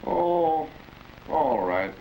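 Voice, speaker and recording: A man's voice: fairly high pitch, sing-song, very slow, mellow, full character voice. An American man acting as a plain-spoken, slightly baffled factory foreman in a 1940s instructional film, with a folksy, colloquial manner. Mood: grumbling, troubled. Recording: noisy vintage 1940s film soundtrack.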